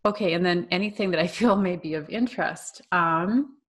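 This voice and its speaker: Woman art teacher, lowish voice, informal and conversational, talking through slides for an online class with frequent asides. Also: moderate pace.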